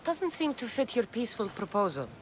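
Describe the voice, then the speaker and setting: A tough, wry mercenary from a video game. She sounds self-assured and direct, her pitch moving in a lively way. There is faint ambient noise behind her. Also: brisk. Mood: businesslike. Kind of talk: video game dialogue acting.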